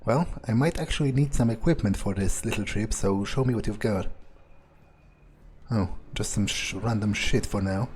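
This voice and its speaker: A man's voice: fairly low pitch, moderate pace, a little mellow, a little hiss or hum, close to an everyday voice. Man playing a musing, condescending vampire. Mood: casual, businesslike. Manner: hesitant, musing aloud. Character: scheming, condescending male vampire, reluctant servant of a prince. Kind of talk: improvised in-character game playthrough monologue.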